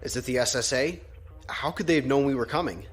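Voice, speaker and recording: A man's voice: mid-range voice, a little fast, close to an everyday voice. A man playing an anxious, questioning junior lieutenant in a science fiction audio drama. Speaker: junior fleet officer.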